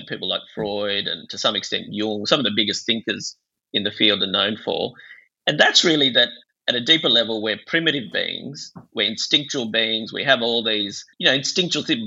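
A man from Bangladesh talks through ideas as an expert interview guest, discursive and intellectual. His voice is lowish and very bright, with a creaky edge. The audio is slightly dull and lo-fi. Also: animated, fairly quick. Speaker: psychiatrist and author, interview guest.

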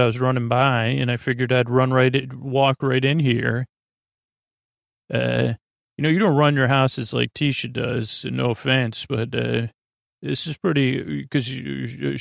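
A man with a mid-pitched voice full of vocal fry hosts a soothing sleep podcast. He wanders off topic, halts and restarts sentences, and sounds friendly and a bit goofy. The recording is close and dry, with very little room sound. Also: no echo. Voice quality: creaky. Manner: meandering, tangential, halting, trailing off mid-sentence. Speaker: podcast host.

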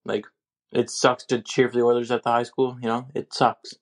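A man's voice: mid-range voice, level tone, normal speed. A man leaving a grumbling, sulky message about his team. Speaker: caller.

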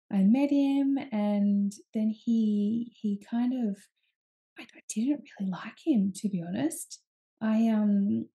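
This is a young woman hosting a solo podcast. Her voice is very dark and mellow, with a gravelly edge of fry, and she talks in a loose, confiding way, full of ums and restarts. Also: mid-range voice. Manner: chatty and candid, many ums and restarts. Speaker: podcast host. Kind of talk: casual solo podcast talk.

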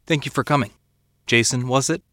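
A man playing a courteous detective in a crime audio drama. His voice is medium-pitched and calm, recorded close and dry. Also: a little fast, no echo, close to an everyday voice. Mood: calm, questioning.

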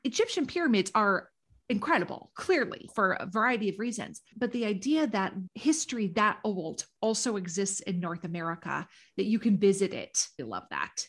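A woman's voice: mid-range voice, expressive, moderate pace. Enthusiastic woman host telling a story. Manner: enthusiastic, gushing, frequent affirming murmurs. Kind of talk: casual conversational storytelling.

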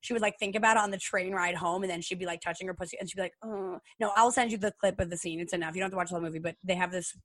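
An American woman from New Jersey chatting casually and explicitly as a podcast co-host, gushing, excitable and prone to rambling. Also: on the low side, rapid-fire, hardly any pauses, dry recording. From New Jersey, United States. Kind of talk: casual, explicit pop-culture chat.

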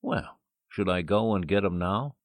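A man's voice: low-pitched, measured pace, no room sound. An actor playing a tough, slangy, wisecracking gangster henchman in a 1940s-style radio play. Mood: slangy, eager. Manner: slangy, tough-guy talk. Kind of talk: 1940s radio crime drama acting.

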